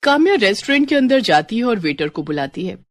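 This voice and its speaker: A woman's voice: on the high side, big pitch swings, fairly quick, no room sound, clean studio sound. A woman reading scripted narration as a storyteller.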